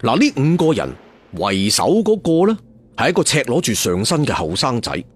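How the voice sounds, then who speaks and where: Male storyteller, lively dramatic narration, slightly husky tone, music underneath. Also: mid-range voice. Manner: expressive, dramatic delivery. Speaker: audiobook storyteller. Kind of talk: dramatic scripted martial-arts narration.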